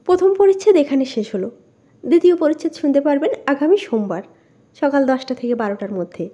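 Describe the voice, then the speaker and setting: A woman with a distinctly high voice reads a literary story as an audiobook narrator. Her tone is soft and mellow, and the recording is dull and lo-fi. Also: animated, brisk. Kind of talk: scripted literary story reading.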